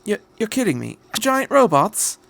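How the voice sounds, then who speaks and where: A man acts out a brash time-travelling doctor from a story reading. The voice jumps up high, animated and incredulous, full of exclamations and questions. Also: normal speed, gravelly, heavily acted. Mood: shocked, incredulous.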